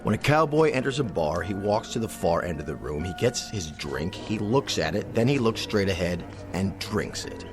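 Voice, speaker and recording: A vain, fussy cowboy star barks orders and complains in a low voice that swings widely in pitch. The radio drama sets him over steady music. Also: male voice, moderate pace, few pauses. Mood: whiny. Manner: pompous, demanding, whiny.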